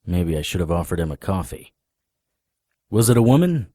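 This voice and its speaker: An actor playing a wry, world-weary male paranormal investigator, narrating a first-person noir audio drama in a deadpan manner. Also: sits low, normal speed, no room sound, studio quality. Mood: wry, matter-of-fact.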